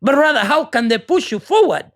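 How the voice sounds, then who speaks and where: A woman's voice: high-pitched, big pitch swings, fairly quick. A woman from Uganda with a Ugandan accent, giving an earnest, preachy motivational talk and often repeating words as she searches for the next one. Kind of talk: motivational monologue.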